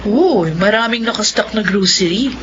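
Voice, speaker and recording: A woman's voice: medium pitch, expressive, moderate pace, muffled recording, background noise, close to an everyday voice. A woman acting an emotional, long-suffering elder sister in a Filipino radio drama. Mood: joyful, moved.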